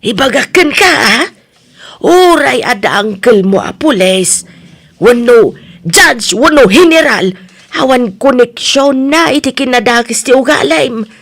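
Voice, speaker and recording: A woman with an Ilocano Filipino accent acting a sharp-tongued, bossy shop-owning aunt in a comedy radio drama, emphatic and nosy. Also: a little high, lively intonation, a little slow, heavily acted. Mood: scolding.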